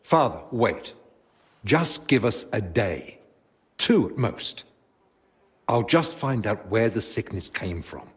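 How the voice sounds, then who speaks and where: An actor playing a learned royal physician in a medieval game drama, speaking in a measured, diplomatic, educated way. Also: male voice, lowish voice, big pitch swings. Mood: reasoning, urging. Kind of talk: dramatic video game cutscene acting.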